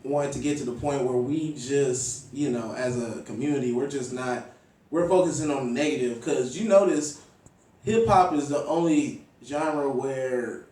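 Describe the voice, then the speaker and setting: A man's voice: mid-range voice, moderate pace, darkish tone. A man talking as an informal, slangy interview host in casual hip-hop conversation. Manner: informal slangy, frequent "you know what I'm saying". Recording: roomy echo, distant from the mic.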